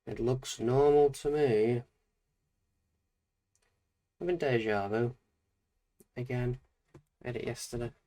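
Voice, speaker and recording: A man with a Northern English accent, chatting casually during a drawing livestream, his voice croaky and a little deeper than usual from a slight hangover. He talks in a relaxed way, with frequent laughs. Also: takes it slowly, long pauses. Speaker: streamer.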